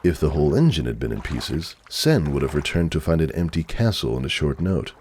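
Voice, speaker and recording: Low-pitched, expressive male story narrator. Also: brisk, slight background noise. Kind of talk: scripted dramatic story narration.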